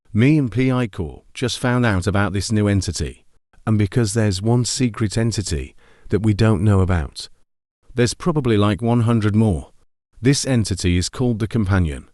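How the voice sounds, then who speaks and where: A man's voice: lowish voice, a little mellow, no echo, studio quality, natural voice. A man playing a secretive federal investigator, giving an informal, rambling explanatory briefing in an amateur horror monologue. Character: secretive male FBI investigator recording a confidential briefing.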